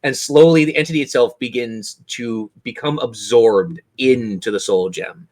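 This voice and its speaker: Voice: medium pitch, moderate pace, a little mellow. A game master running a tabletop roleplaying game, narrating scenes in a theatrical, suspenseful way.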